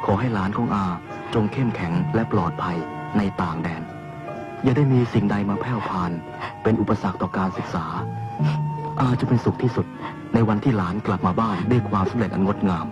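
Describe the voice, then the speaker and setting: A man's voice: on the low side, a little fast, lightly acted. A man playing an elder relative who reads an earnest, formal letter over music in a drama. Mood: tender. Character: elder relative reading a loving, solemn letter. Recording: dull lo-fi with loud music behind.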